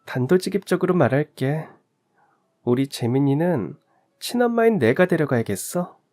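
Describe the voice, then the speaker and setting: A man's voice: a little high, big pitch swings, normal speed, a little mellow, pitched above the performer's own voice. A man voicing a scornful, scheming birth mother who taunts and mocks in a storybook reading. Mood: cold. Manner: mocking, smug, taunting.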